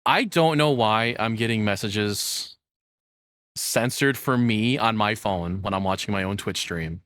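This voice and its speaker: Bright, forceful man ranting casually, lower-pitched. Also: measured pace, no room sound, clean studio sound. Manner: crude, joking, rambling rants. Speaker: streamer reacting to a video. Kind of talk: casual live reaction commentary.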